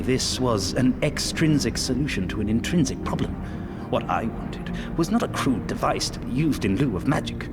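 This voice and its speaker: An actor playing a proud, coldly superior imperial scientist in a video game, lecturing precisely and pompously over prominent music. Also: male voice, on the low side, lightly acted. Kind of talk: dramatic video game exposition.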